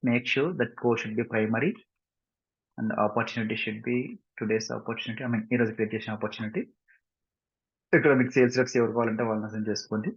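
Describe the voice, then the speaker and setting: A man with a Telugu Indian accent giving a step-by-step software tutorial, mixing in English and often checking understanding with short tag questions. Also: fairly low pitch, little pitch movement, moderate pace, husky. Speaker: software instructor. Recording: muffled lo-fi mic, roomy echo.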